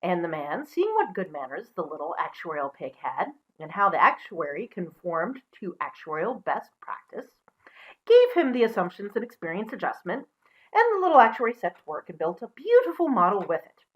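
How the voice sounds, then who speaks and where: A woman presenting as a storyteller, mixing expressive storybook reading with casual asides. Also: fairly low pitch, normal speed, dull audio.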